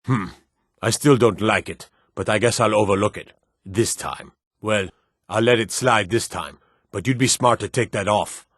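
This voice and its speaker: Fantasy game guard, lowish pitch, dry close sound, blunt, wary, folksy. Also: male voice, moderate pace, fairly bright tone, dry recording. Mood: stern, wary. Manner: blunt, suspicious, folksy, archaic turns of phrase. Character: gruff, wary male city guard in a fantasy realm. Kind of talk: video game character barks.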